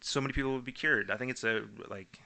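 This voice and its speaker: Gravelly, spacey young man rambling. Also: mid-range voice, fast talker, bright, forceful tone. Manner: rambling, loose and laid-back. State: drowsy and spacey on painkillers. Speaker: podcast guest. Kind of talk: casual chat.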